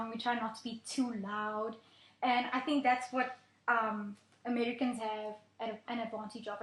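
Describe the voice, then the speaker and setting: Guest singer, young woman, earnest storyteller with ums, echoey room. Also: on the high side. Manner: earnest, storytelling, filler-heavy. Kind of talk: casual interview talk.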